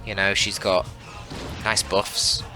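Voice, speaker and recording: A man giving live, analytical and conversational commentary on a strategy game, as a shoutcaster does. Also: fairly low pitch, little pitch movement, slow, low-quality recording. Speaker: esports shoutcaster. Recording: voice over loud game audio. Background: loud game music and battle sounds.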